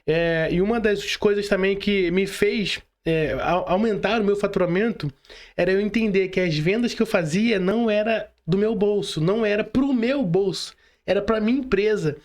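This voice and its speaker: A man's voice: high-pitched, moderate pace. A man with a Brazilian accent hosting a casual interview, speaking in slang and repeating words for emphasis. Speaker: podcast host.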